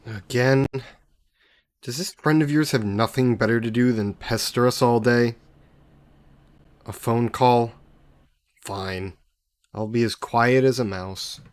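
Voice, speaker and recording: A man's voice: mid-range voice, takes it slowly, pauses often, no room sound, natural voice. A man playing a sassy, possessive, centuries-old demon in an audio roleplay, speaking haughtily and sardonically in formal wording. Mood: exasperated. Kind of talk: scripted audio roleplay addressed to the listener.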